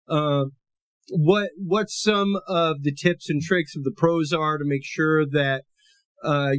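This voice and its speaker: Male podcast host, big full voice a touch on the high side, dry close sound, easygoing explainer. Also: dry recording. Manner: conversational, loose and explanatory. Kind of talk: casual educational podcast monologue.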